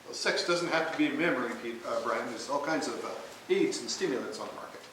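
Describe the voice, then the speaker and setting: An actor playing an affable, smooth-talking rival suitor in a stage play rehearsal. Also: male voice, medium pitch, animated, fast, fairly bright tone, natural voice. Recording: distant echoey rehearsal room, hiss.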